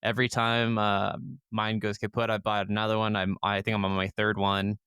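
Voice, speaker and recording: A man's voice: fairly low pitch, flat delivery, fairly quick, bright, forceful tone, clear, resonant tone, dry recording, clean studio sound. A man in his thirties co-hosting a podcast in casual conversation. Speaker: podcast co-host. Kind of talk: casual chat.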